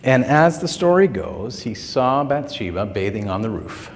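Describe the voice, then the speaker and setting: A man preaching a sermon as pastor, his throat still a bit hoarse, telling stories in a relaxed, conversational way with frequent fillers. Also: mid-range voice, moderate pace, big-room sound, slight background noise. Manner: conversational, folksy storytelling with filler words. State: recovering throat, slightly hoarse.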